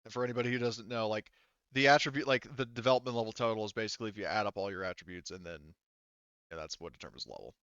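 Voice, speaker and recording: A man's voice: fairly low pitch, very fast, a bit bright, smooth, dry recording, dull audio. A man acting as game master, casually talking through tabletop game rules in a rambling, backtracking way.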